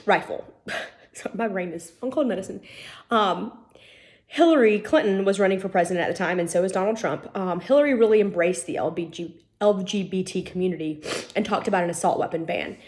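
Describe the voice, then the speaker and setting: A young woman teaching an online college class while nursing a cold, her voice a little sniffly. She talks to students in a loose, friendly way, dotted with "um"s, into a laptop mic at a middle pitch. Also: brisk, darkish tone. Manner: chatty, informal, many "um" fillers. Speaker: college lecturer. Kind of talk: recorded online lecture.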